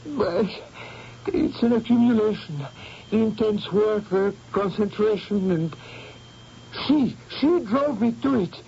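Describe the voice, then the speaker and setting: A frantic, gravelly young painter. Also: male voice, sits high, slow pace, dark tone, exaggerated voice. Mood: remorseful. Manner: frantic, pleading, breaking off mid-phrase. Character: desperate, volatile young male painter. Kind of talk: 1970s radio drama acting. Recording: dull old radio broadcast, faint hiss.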